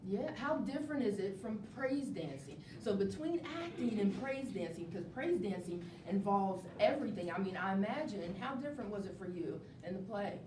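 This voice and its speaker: A woman's voice: mid-range voice, expressive, normal speed, hardly any pauses. A woman hosting a live interview, encouraging and affirming the people she talks to. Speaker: host interviewing a stage play cast. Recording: noisy, echoey room, distant mic.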